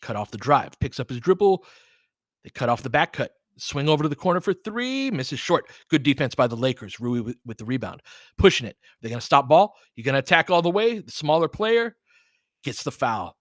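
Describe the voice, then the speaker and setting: A man's voice: medium pitch, very animated, fairly quick, no echo. Male play-by-play caller for basketball, mid-range voice, clipped running calls, casual fan asides, very dry recording. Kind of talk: live audio play-by-play commentary.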